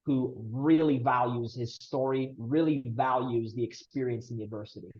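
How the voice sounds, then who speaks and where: A man's voice: medium pitch, steady tone, moderate pace, darkish tone, highs cut off. A man in his thirties from Romania, a podcast guest earnestly telling his family story with emphatic delivery. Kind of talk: casual interview storytelling.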